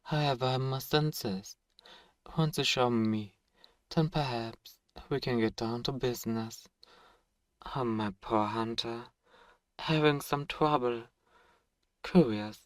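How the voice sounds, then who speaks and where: A man's voice: medium pitch, slow, pauses often, dry recording, lightly acted. A man playing a suave, possessive, menacing vampire lord in an ASMR roleplay, speaking in a formal, mockingly tender way. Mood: mocking, courtly. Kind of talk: ASMR roleplay audio drama.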